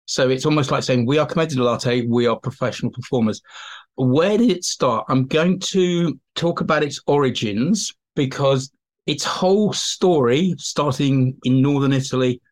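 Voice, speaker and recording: A man's voice: medium pitch, normal speed. Male academic guest, mid-range voice, informal and enthusiastic explainer with a digressive, conversational delivery. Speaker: expert guest, teacher and writer. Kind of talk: informal expert explanation in an interview.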